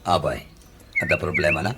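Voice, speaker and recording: A man's voice: low voice, brisk, lightly acted. A man with an Ilocano Filipino accent playing a grumbling, advice-giving household elder in a comedy radio drama. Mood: concerned advice. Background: background music.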